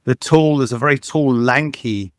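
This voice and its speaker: A man of middling pitch talks as a guest in an interview, rambling through his stories with plenty of false starts and fillers. He sounds eager and enthusiastic, and his voice comes across close and dry, with no room sound. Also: normal speed, dry recording. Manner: rambling, enthusiastic storytelling with many restarts and fillers. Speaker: guest, sound engineer and dubbing supervisor. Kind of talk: casual interview conversation.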